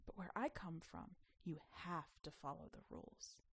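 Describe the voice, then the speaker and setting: A woman voicing a mysterious girl from another world, calm, measured and firm about rules, in a storybook reading. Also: on the low side, gravelly, husky. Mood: calm, inviting.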